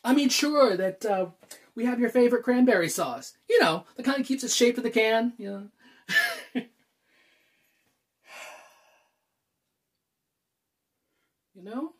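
Man acting anxious, halting thirty-year-old, widely swinging pitch. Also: lively intonation. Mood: nervous chatter. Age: 30s. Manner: halting, nervous, sighs and stammers. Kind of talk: dramatic monologue, self-taped acting.

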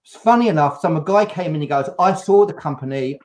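Older man telling a lively story. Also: on the high side, fairly quick. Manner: lively anecdotal storytelling with laughs. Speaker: host. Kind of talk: casual live chat, storytelling. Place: home room, video call.

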